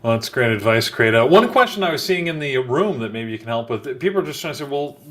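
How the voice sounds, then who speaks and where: A man's voice: mid-range voice, big pitch swings, quick pace, fairly bright tone. A man from Canada hosting a live trading show, chatty and friendly, passing on viewers' questions. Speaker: host.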